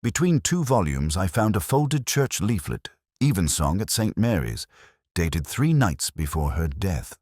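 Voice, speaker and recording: A man playing a brooding, introspective British police inspector, narrating a detective story in a measured, solemn, literary way. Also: low voice, sing-song, a little mellow, no room sound, clean studio sound, full character voice. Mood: brooding, reflective. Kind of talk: scripted first-person detective story narration.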